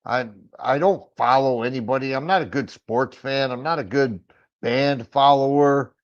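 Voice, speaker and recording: Middle-aged male stream host, raspy, stammering restarts, average pitch, muffled low-fi sound. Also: moderate pace. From Michigan. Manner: rambling, with frequent stammered restarts. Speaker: firearms livestream host. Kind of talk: casual livestream chat answering viewers. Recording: dull lo-fi stream audio.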